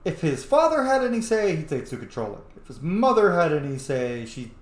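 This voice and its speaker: Animated, sweary young gamer in an echoey room. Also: mid-range voice, fairly quick. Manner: informal, sweary, thinking aloud. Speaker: video game streamer, roleplayer. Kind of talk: casual playthrough commentary.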